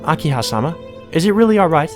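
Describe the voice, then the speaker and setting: A man voicing a gentle, wistful young female maid who speaks with polite, deferential formality. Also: on the high side, very animated, quick pace, over music, pitched above the performer's own voice.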